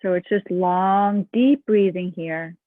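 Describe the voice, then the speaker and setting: Woman meditation guide, unhurried and gentle, dry thin phone-like recording. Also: on the low side, steady tone, no room sound. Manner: slow, soft and soothing.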